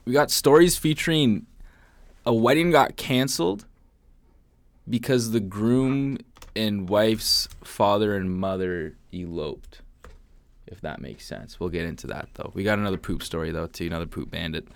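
A man's voice: lowish voice, normal speed, vocal fry. A man hosting a podcast in casual, joking and profane chat. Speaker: podcast host.

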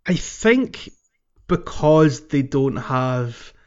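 A man's voice: mid-range voice, animated, very slow, a little mellow, clear, resonant tone. Man chatting, slightly dull audio. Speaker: podcast host. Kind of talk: casual video game discussion.

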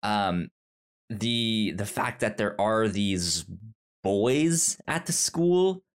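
A man co-hosting a podcast, talking casually about a film in a meandering, halting way. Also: on the low side, expressive, clean tone. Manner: meandering, halting restarts, bursts into laughter. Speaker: podcast co-host. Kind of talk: casual movie discussion.

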